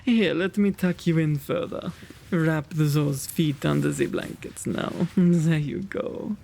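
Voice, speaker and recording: Kindly vampire lord of the manor, played by a man, ASMR roleplay: genteel and quaint, slow delivery, gravelly creak. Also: a little high, steady tone, darkish tone, a little hiss or hum. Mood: doting. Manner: genteel, old-fashioned, fussing and flustered diction. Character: kind, quaint gentleman vampire, lonely host of old mansion.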